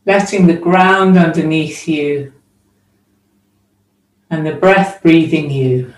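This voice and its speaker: A woman teaching a guided meditation, giving spoken instructions in short, measured phrases with long pauses between them. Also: deep voice. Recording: roomy echo, distant microphone.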